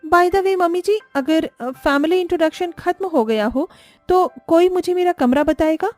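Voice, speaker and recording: A woman's voice: very high voice, normal speed, a little mellow, no room sound, soft background music, pitched above the performer's own voice. A woman voicing a spoiled rich young bride, dramatic and exasperated, who drops English exclamations into her complaints, in a storybook cartoon. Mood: haughty, irritated.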